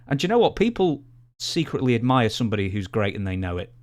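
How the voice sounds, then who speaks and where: A man's voice: mid-range voice, big pitch swings, quick pace. A man co-hosting a podcast, discussing sports casually and building his points emphatically, step by step. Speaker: podcast co-host.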